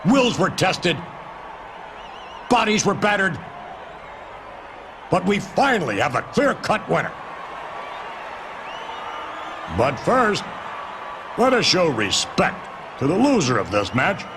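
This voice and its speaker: A domineering wrestling company boss makes grand announcements in a video game. His pitch runs fairly high and swings widely, with theatrical emphasis. The recording has clear noise behind it. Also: big pitch swings, measured pace, pauses often, full character voice. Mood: grand, emphatic. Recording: noisy game capture with background ambience.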